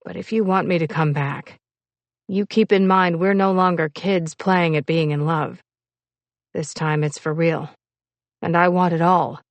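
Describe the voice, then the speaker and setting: An audiobook's young sailor, voiced by a woman reader, stiff and proud. He speaks low and husky, in terse, intense words full of frustrated love. The sound is dry and close. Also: steady tone, a little slow, no room sound. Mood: intense, demanding. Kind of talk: audiobook character dialogue.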